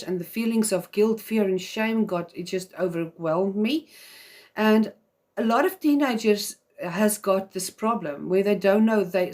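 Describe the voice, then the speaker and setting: Woman, South African accent, Afrikaans first language, earnest, chatty, live-stream host, middle pitch. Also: darkish tone. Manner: earnest, chatty, with frequent "um" fillers. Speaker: host of a live video series for mothers. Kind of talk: live-stream talk to viewers.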